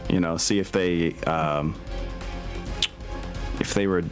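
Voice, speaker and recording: A very low-voiced man runs a tabletop game as game master. He talks casually and hesitantly, thinking aloud between bits of narration, with music playing behind him. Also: steady tone, slow.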